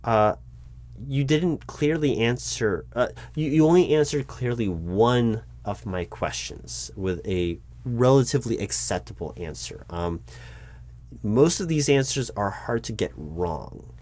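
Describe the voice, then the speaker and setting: A man doing a roleplay as a job interviewer, talking in a deliberate, dry way with frequent ums. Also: mid-range voice, expressive, darkish tone. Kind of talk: scripted ASMR roleplay.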